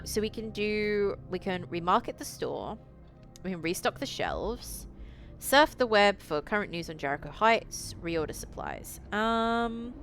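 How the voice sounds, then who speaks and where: A woman streamer reading a visual novel aloud, with excitable, slangy asides between stretches of narration. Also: on the low side. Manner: excitable, slangy, interjecting commentary. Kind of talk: reading game text aloud with casual asides. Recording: music behind the voice. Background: music.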